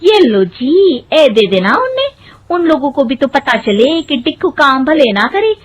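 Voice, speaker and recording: A woman's voice: high voice, sing-song, unhurried, voice pushed up. A woman voicing a feisty, proud and doting young wife with a Punjabi accent in a cartoon story. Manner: brash, dramatic.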